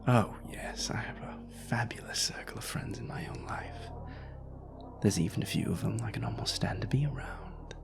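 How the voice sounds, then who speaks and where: A man playing a suave, seductive vampire in an intimate audio roleplay, murmuring in a breathy, gravelly voice with flirtatious, elegant drawl. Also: fairly low pitch, moderate pace, whispered. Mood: wry, reflective. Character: suave seductive male vampire stranger, teasing, world-weary. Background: music.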